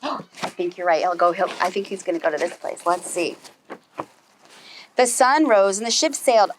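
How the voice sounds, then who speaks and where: A woman reading a children's story aloud as a preschool storyteller, animated and putting questions to her young listeners. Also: fairly low pitch, moderate pace, a little hiss or hum.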